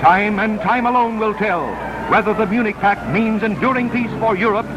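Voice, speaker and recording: A man's voice: high voice, normal speed, lo-fi sound, background noise. A man narrating a 1930s newsreel in a dramatic, solemn, declamatory style on a vintage soundtrack. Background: newsreel music.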